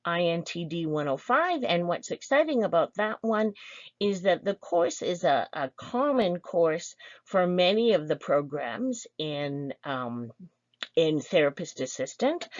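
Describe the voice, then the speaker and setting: Low-voiced woman instructor, dull lo-fi sound. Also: no room sound. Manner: explanatory, with fillers and restarts. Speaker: program instructor. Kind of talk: online information session presentation.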